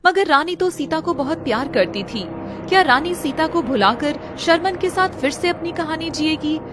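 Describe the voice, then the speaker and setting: High-pitched woman narrating a dramatic story. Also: expressive, normal speed, music in the background.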